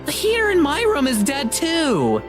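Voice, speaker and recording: A woman voicing an excitable, blunt young woman ghost hunter who gets exasperated and suspicious, in a visual novel. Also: sits high, moderate pace, over music, voice pushed up. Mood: agitated, indignant. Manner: exclamatory, blunt, casual.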